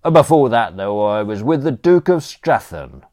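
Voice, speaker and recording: A man's voice: medium pitch, big pitch swings, unhurried, a little mellow, voice pushed up. A man voicing a brash, cheerful male butler with a cheeky, slangy way of talking. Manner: breezy, cheeky, working-class slang.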